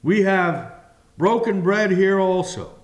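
A man preaching a communion sermon slowly and deliberately, pausing between phrases. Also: high-pitched, animated. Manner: slow and deliberate, with long pauses.

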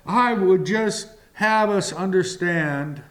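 Preacher guy talking super slow, kinda high voice, long pauses. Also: a bit bright. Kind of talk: slow communion sermon.

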